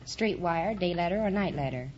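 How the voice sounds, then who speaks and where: A woman's voice: fairly low pitch, lively intonation, moderate pace, heavy background noise. An American woman answering as a contestant on a vintage radio quiz show. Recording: muffled vintage radio broadcast, loud audience. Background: studio audience.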